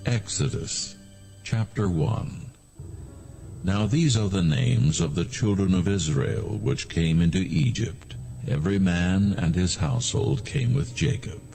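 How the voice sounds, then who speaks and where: Male scripture narrator, heavy vocal fry, slow and solemn, with expressive rises and falls. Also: low-pitched, faint music behind. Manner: measured, solemn storytelling.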